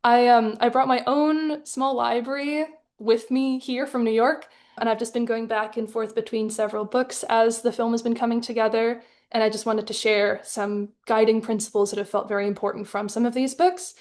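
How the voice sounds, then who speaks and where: Woman, fairly high voice, from Hong Kong, articulate, measured delivery, artist presentation, video call. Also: fairly even intonation, darkish tone. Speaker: artist in residence presenting her animated film. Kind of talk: prepared artist talk, partly read. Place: live online webinar.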